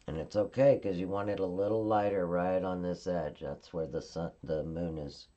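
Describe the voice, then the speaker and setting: A woman at her craft table giving a calm, step-by-step card-making tutorial while she works. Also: very deep voice, normal speed, muffled recording. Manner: calm, instructive, narrating her hands-on work.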